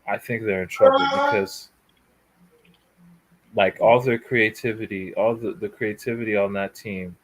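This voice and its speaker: A man on a football chat panel, giving his view with many pauses and fillers. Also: fairly low pitch, fairly even intonation, a little hiss or hum, poor audio. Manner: halting, lots of fillers. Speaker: panel guest.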